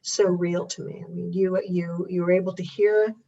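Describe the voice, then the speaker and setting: An older woman with a husky voice, talking reflectively in conversation as a guest about her family's history. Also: fairly low pitch, level tone, normal speed, mellow. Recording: distant, dull muffled microphone.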